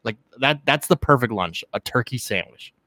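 A man's voice: medium pitch, lively intonation, moderate pace, sharp tone, no echo. A young man co-hosting a podcast, laid-back, swearing freely and laughing often. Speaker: podcast co-host. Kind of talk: casual podcast chat.

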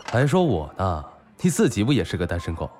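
An actor playing a brash, bantering, foul-mouthed bar owner and loyal buddy in a Chinese audio drama. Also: male voice, sits low, big pitch swings, fairly quick, darkish tone, a little hiss or hum.

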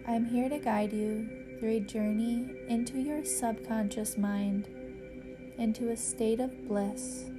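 Female meditation guide, slow and measured, soothing instructional read with little pitch movement. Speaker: yoga nidra meditation guide and podcast host.

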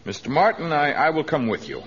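A man's voice: fairly high pitch, big pitch swings, a little fast. A man playing a grave, commanding jungle lord in a radio adventure serial, speaking in a stately, formal way. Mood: calm, measured. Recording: dull, noisy old radio recording.

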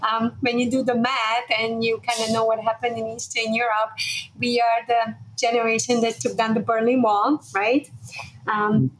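Woman in her 40s from hungary, kinda monotone, slightly high, bit of hiss behind. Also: poor audio. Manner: brisk, many "you know" and "um" fillers. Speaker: guest, fashion entrepreneur. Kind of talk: interview storytelling.

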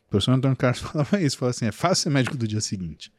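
A man with a Brazilian Portuguese accent, a physician talking on a podcast in an animated, emphatic storytelling way. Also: medium pitch, very fast. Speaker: physician guest. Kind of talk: casual podcast interview.

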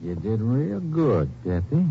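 Cold-blooded rustler, quite deep voice with sliding intonation, threatening, vintage radio western. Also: male voice, big pitch swings, slow, creaky, heavily acted. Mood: cold menace. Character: menacing male fugitive cattle rustler. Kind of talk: 1950s radio drama acting. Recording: muffled old radio broadcast, faint noise.

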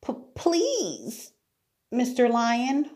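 A woman's voice: medium pitch, sing-song, a little slow, darkish tone, pitched above the performer's own voice. A woman voicing a polite, timid but plucky young girl in a children's story, pleading and apologetic.

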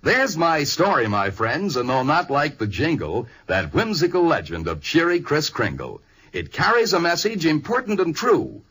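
A man with an American accent and husky voice, reciting a rhymed vintage radio advertisement in theatrical sing-song verse. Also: fairly high pitch, wildly swooping pitch, moderate pace, fairly bright tone. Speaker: commercial announcer.

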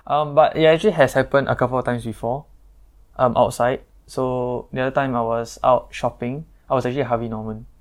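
A young man with a Singaporean English accent and a naturally deep voice, chatting casually as a guest, pausing often with fillers and restarts. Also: fairly even intonation. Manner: hesitant, many fillers and restarts. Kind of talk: casual chat.

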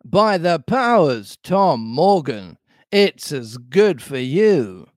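A man voicing a fruity, theatrical, commanding pirate innkeeper with drawn-out, suggestive delivery in a storybook reading. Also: on the high side, big pitch swings, no room sound, clean studio sound, voice pushed up. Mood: stern. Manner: drawn out, innuendo-laden delivery.

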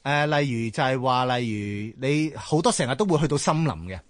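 Animated Hong Kong Cantonese man explaining. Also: mid-range voice, fairly quick, dry recording. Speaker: talk show panelist. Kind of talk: casual explanatory discussion.

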